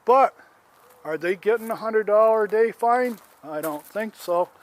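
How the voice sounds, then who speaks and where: An older man in his seventies talks outdoors into a handheld phone, airing an aggrieved complaint about his yard. His voice sits high for a man and he takes his time. He rambles and restarts in a plain, homespun way. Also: measured pace, a little hiss or hum. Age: 70s. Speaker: homeowner. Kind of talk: casual complaint vlog, filming as he walks.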